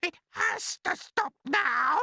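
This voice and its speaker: A man voicing a shrill, spiteful old aunt in an audiobook, shrieking and snapping at everyone. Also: lively intonation, bright, forceful tone, no room sound, clean studio sound, full character voice. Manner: shrieking, snapping, drawn-out exclamations. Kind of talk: audiobook character voice.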